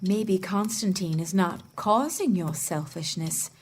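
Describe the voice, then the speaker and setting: An actress playing a wise, patient mother in a family audio drama, measured and gently correcting. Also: medium pitch, a little hiss or hum, natural voice. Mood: gently instructive.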